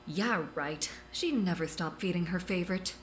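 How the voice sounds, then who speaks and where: A woman's voice: on the low side, moderate pace, a little hiss or hum, natural voice. A woman playing a dry, cutting woman doctor. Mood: scornful. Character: sharp-tongued woman doctor, blunt and scornful. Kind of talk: audio drama acting.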